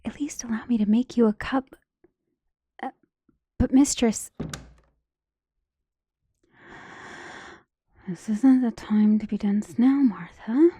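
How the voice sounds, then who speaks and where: A woman playing a devoted, outspoken servant of a noble household in an ASMR roleplay, speaking gently and deferentially in formal phrasing, often slipping into a whisper. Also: a little high, a little slow, slightly rough, dry recording, studio quality. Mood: muttering, determined. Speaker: voice actor in an ASMR roleplay audio drama. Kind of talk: soft-spoken ASMR roleplay acting, often dropping to a whisper.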